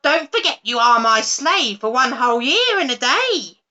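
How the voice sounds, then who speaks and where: Woman doing a wicked old witch, super shrill and bossy, drags her words out slow, kinda muffled lo-fi sound. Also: lively intonation, sharp tone, exaggerated voice. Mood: scheming. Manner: shrill and commanding, with drawn-out words. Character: wicked cackling old female witch, greedy and scornful. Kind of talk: storybook reading.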